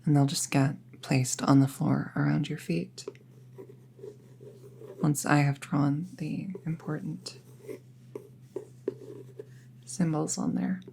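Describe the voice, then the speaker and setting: A woman playing an earnest apprentice witch in an intimate roleplay audio drama, speaking in a hesitant, gently reassuring way. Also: deep voice, level tone, takes it slowly, creaky, long pauses, a little hiss or hum, full character voice. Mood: reassuring, explanatory. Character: earnest, careful apprentice witch, close friend to listener.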